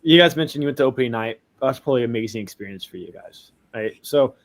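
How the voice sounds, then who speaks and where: A man rattles off quick, upbeat questions as an interviewer. His pitch is ordinary and his pace is brisk in this casual exchange. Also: expressive.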